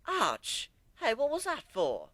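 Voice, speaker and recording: A man acting out a young unicorn mare in a fantasy story, polite but doubtful. The voice is raised high and hesitant, lilting in protest, with a dry sound. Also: huge pitch swings, slow pace, pushed and bright, no echo, full character voice. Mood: timid, protesting.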